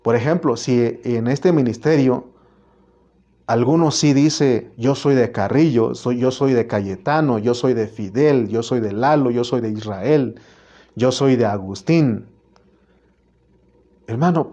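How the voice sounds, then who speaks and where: Mexican pastor preaching, mellow low voice, kinda muffled lo-fi recording. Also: male voice. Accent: Mexican Spanish. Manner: earnest, repetitive preaching with restarts. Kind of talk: sermon.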